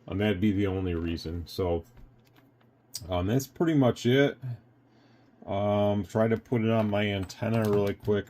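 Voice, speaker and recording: A man's voice: sits low, dark tone, slight background noise. An American man giving a casual hands-on product review, explaining things in a rambling, matter-of-fact way. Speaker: radio shop owner and product reviewer.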